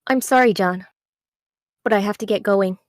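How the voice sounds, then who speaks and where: A woman's voice: mid-range voice, normal speed, no echo, studio quality, lightly acted. A woman in an amateur table read playing a guarded, burdened young woman in a drama scene. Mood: sad, resigned.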